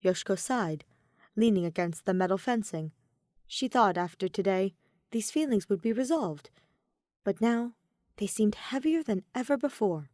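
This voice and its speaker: A woman narrates scripted fiction in a middle-pitched voice. Her intonation moves around in a lively, expressive way. The recording is dry, with very little room sound. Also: no room sound. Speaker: audio fan fiction narrator.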